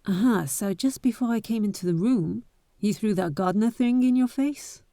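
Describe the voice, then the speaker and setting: A woman playing a bold, impatient but caring adventurer in an intimate roleplay audio drama, with breathy, teasing, exasperated delivery. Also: mid-range voice, normal speed, warm tone, no room sound, clean studio sound, natural voice. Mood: curious, teasing.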